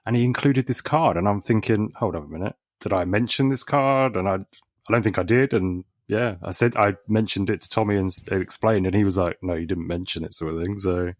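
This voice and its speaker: A man's voice: fairly low pitch, fairly quick, no echo, studio quality. A man co-hosting a podcast, telling a story in a laid-back way with ums. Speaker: podcast co-host. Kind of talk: casual chat.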